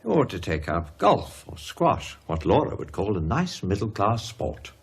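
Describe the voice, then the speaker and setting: Radio drama: inquisitive, matter-of-fact doctor, markedly husky, with wide swinging intonation. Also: male voice, lowish voice, very animated, unhurried, exaggerated voice. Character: brisk, inquisitive male doctor and old friend. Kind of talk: naturalistic radio drama acting.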